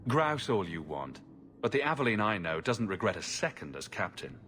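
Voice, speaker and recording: Male adventurer lead, game cutscene: lowish, wry, easygoing, understated, some background noise. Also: sing-song, normal speed, bright, forceful tone. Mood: relaxed, candid. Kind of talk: video game cutscene acting.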